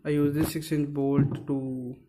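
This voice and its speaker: Slow, halting man. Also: on the high side, level tone, noisy background. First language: Punjabi. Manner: hesitant, searching for words, many fillers. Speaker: DIY maker. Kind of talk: casual how-to narration.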